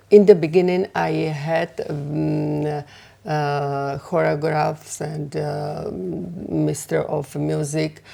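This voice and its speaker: Slovak woman, designer, low gravelly voice, slow hesitant English with a Slovak accent. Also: dark tone. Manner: halting, many fillers and pauses, simple English. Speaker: fashion designer and author. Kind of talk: community TV interview.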